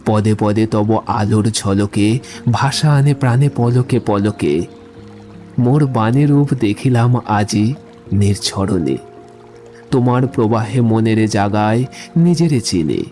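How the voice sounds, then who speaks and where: A man playing a romantic, brooding poet in a literary audio drama, speaking in a reflective, measured, lyrical way. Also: fairly low pitch, expressive, dark tone. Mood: tender, lyrical. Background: music.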